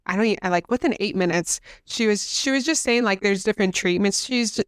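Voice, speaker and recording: A young woman co-host chats loosely, with fillers and quick restarts, her nose congested. Her voice comes through very clear, and the recording is dry with no room sound. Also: medium pitch, dry recording. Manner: chatty, many fillers and self-corrections. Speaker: podcast co-host. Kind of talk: casual chat.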